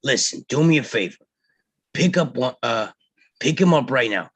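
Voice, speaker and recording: Husky, hot-tempered mobster played by a man. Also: medium pitch, lively intonation, moderate pace, no echo. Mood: angry, curt. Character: hot-tempered mobster elder brother, bossy, protective. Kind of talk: live table read of a film script.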